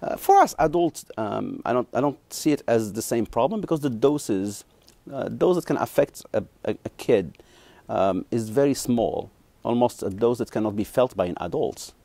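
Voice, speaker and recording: A man from Morocco, a neuroscience professor in his thirties, answering interview questions haltingly with many ums and restarts. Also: mid-range voice, animated, gravelly, mellow, no echo.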